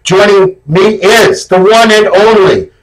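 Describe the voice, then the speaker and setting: A man hosting a casual podcast, chatting in a relaxed, joking way. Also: high voice, takes it slowly, low-quality recording. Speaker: host.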